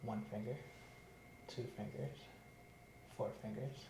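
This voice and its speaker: A man playing a patient, giving short quiet answers, sometimes whispered. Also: mid-range voice, fairly even intonation, slow, roomy sound, background noise. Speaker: patient in an exam roleplay.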